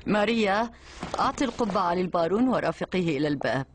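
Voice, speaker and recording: A woman voicing a guarded, dignified old lady in a cartoon dub, speaking measured Modern Standard Arabic. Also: lowish voice, lively intonation, close to an everyday voice. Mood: commanding, alert. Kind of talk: animated cartoon dub acting. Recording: background music under dialogue.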